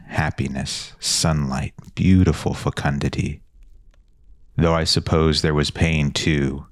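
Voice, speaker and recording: A man playing a lonely, brooding storyteller of a dead fantasy world, speaking in an ornate, intimate and deliberate way. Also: very deep voice, dry recording, studio quality, exaggerated voice. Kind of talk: scripted dark fantasy narration.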